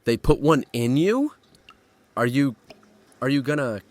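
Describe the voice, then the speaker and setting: A man's voice: medium pitch, expressive, a little slow, close to an everyday voice. An actor playing a bewildered, earnest young man trapped in a nightmare world, speaking and narrating in a horror audio drama. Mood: shocked, uneasy. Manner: uneasy, questioning, earnest.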